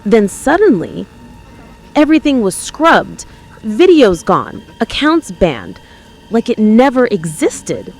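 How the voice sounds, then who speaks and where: A woman playing an earnest, fearful conspiracy-believing radio caller with an American accent, halting and insistent. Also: fairly high pitch, very animated, darkish tone, soft background music, full character voice, rough recording. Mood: convinced, ominous. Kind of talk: dramatised radio call-in testimony.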